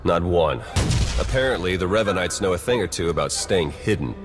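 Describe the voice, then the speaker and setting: A pragmatic young spy in a game cutscene. His voice sits low, with a dry, casual, matter-of-fact delivery. Music plays clearly behind him. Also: lively intonation, a little fast. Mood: wry. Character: wry, pragmatic male spy agent, a young adult. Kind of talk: video game voice acting. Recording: loud game music underneath.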